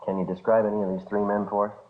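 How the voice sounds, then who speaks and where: An actor playing a businesslike, polite police detective in a 1950s radio crime drama, speaking in a clipped, matter-of-fact way. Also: male voice, fairly low pitch, little pitch movement, racing, close to an everyday voice. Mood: polite, probing. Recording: noisy, narrow-band old radio recording.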